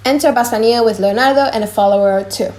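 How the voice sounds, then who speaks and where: A woman narrates a dramatized audiobook. Her voice is fairly high, and she reads scripted lines as the play's narrator. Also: fast, darkish tone, roomy sound.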